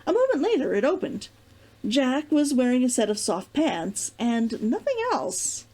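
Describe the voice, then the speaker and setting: A woman narrates a story in a high, lively voice with lots of melodic movement. The tone is soft-edged and mellow, and the reading is expressive, as for a read-along. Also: measured pace. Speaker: reader of a fiction read-along.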